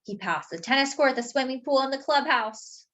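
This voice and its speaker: A woman's voice: high-pitched, animated, moderate pace, a bit bright, dull audio. A woman storyteller reading a picture book aloud for children, with explanatory asides to young listeners.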